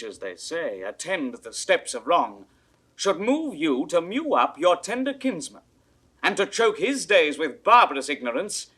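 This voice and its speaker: Indignant english lord, shakespeare drama, raspy and really animated, theatrical speechifying. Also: male voice, a little high, heavily acted. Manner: rhetorical, emphatic, theatrical declamation. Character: earnest, indignant English nobleman, bold and principled. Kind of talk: 1960s classical Shakespeare audio drama acting.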